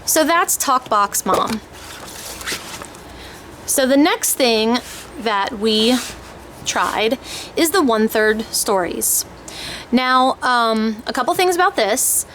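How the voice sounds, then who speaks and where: Higher-voiced woman, informal and hesitant. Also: animated, slow, pauses often, fairly bright tone. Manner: informal, hesitant, thinking aloud. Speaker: homeschooling parent reviewing language kits. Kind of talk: casual product overview, explaining. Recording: clear background noise.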